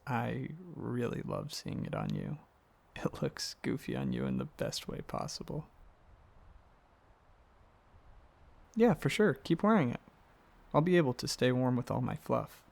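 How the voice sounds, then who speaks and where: A man playing an affectionate, excitable dragon boyfriend in an ASMR roleplay, talking casually and a little haltingly, with a gravelly voice. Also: medium pitch. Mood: playful, affectionate. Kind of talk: ASMR roleplay, softly addressing the listener as partner.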